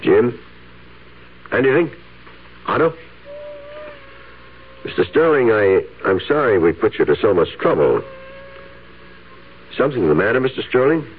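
An actor playing a courteous, persistent police lieutenant in a radio drama, businesslike and probing. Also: male voice, on the low side, very animated, measured pace, long pauses, narrow, tinny audio. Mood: concerned, probing. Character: polite, persistent male police lieutenant questioning a suspect. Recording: thin band-limited vintage radio, faint noise.

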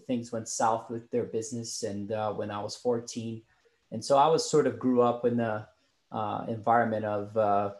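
A young man from Brazil whose first language is Portuguese, talking as a podcast guest in a somewhat low voice. His delivery is even and flat, with frequent pauses and restarts. The room around him rings with echo. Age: young adult. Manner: halting, many fillers and restarts. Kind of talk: casual interview answers.

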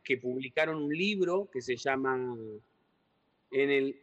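A man's voice: mid-range voice, slow pace. Hesitant man, echoey muffled room. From Uruguay. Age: 40s. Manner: rambling and hesitant, with many repeated words. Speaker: writer and guest. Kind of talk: conversational interview.

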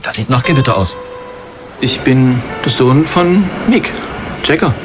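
A man's voice: medium pitch, animated, unhurried. A man talking in documentary-style dialogue from a film trailer. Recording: film trailer clip with music. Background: trailer music.

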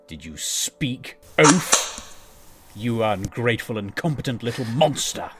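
A man's voice: medium pitch, sing-song, measured pace, music in the background. A man playing a cruel, contemptuous royal father in a fantasy audio drama, speaking with haughty, archaic theatricality. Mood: contemptuous anger.

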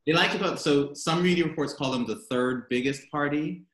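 A man's voice: a little high, fairly quick, a bit bright, echoey room. An American man chatting casually as a guest, telling stories animatedly and laughing often. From United States. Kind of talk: casual chat. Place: home in Berlin.

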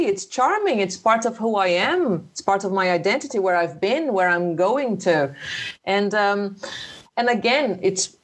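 Lady chatting about teaching english, acts out what people told her in fast little bursts, mid pitch voice. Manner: animated, quotes people in quick bursts. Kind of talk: casual conversation.

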